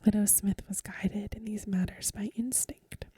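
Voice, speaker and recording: A woman softly whispering a story for ASMR in a breathy voice, with gentle, careful phrasing, close to the microphone. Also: medium pitch, fairly even intonation, unhurried, dry recording. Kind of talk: soft-spoken ASMR story reading.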